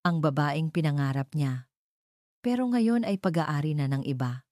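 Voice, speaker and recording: A woman narrating a romantic drama from a script in a measured, emotive storytelling manner. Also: low-pitched, expressive, a little mellow, dry recording, clean studio sound.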